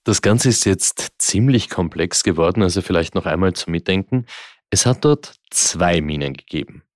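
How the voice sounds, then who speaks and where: A man co-hosting a true-crime podcast, calmly recapping a complicated case for listeners. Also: low voice, normal speed, no room sound, clean studio sound. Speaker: podcast co-host.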